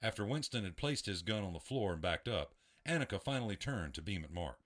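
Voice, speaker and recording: Deep-voiced male audio drama narrator. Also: expressive, fairly quick, a bit bright.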